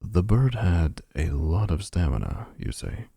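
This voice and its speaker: A man's voice: deep voice, big pitch swings, unhurried, creaky, dark tone, breathy edge, no room sound, clean studio sound, heavily acted. A man voicing a possessive, smug male vampire suitor in a boyfriend roleplay, talking in a menacing, boastful way.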